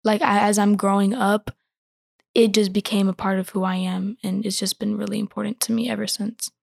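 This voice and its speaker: A thirteen-year-old girl answering interview questions thoughtfully, pausing with many fillers. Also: medium pitch, level tone, creaky, dry recording, studio quality. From born in Ecuador, raised in the United States. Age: teens. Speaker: guest.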